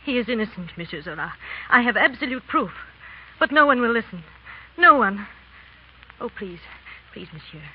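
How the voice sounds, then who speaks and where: Desperate, pleading wife, old radio. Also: female voice, on the high side, expressive, moderate pace, long pauses, breathy edge. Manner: earnest, imploring, formal. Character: desperate pleading Frenchwoman, devoted wife of condemned prisoner. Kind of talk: 1930s radio drama acting. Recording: muffled, noisy 1930s radio recording.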